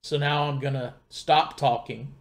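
Middle-aged male tech presenter, mid-range voice, informal step-by-step explaining, pauses to think as he goes. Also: mid-range voice, steady tone. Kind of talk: casual step-by-step gear tutorial. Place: home computer desk in a wood-panelled room. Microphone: desktop condenser mic about two feet away.

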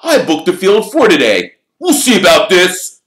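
A man voicing a fiery, obsessive teenage boy sports captain in a children's storybook, forceful and indignant. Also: sits high, sing-song, moderate pace, reverberant, voice pushed up, exaggerated voice. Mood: outraged. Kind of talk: audiobook character voice in a children's fantasy story.

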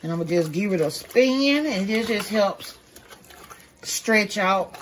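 A folksy woman talks her viewers through a home recipe. Her tone is chatty and relaxed, and her voice sits at a middle pitch. She is recorded in a home kitchen by a handheld camera, with faint noise behind her. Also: a little slow, poor audio. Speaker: vlogger. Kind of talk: casual cook-with-me vlog. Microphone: camera held by someone else.

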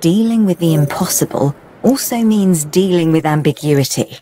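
An actress playing a composed, practical park adviser in a video game, measured and businesslike. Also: female voice, fairly low pitch, animated, music in the background, natural voice.

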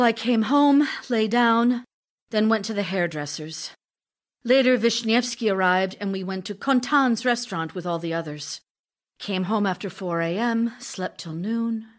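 A woman's voice: fairly high pitch, measured pace, lightly acted. A woman playing an ailing, lonely actress wife in an audio drama of love letters, speaking in a theatrical, confiding way. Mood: weary.

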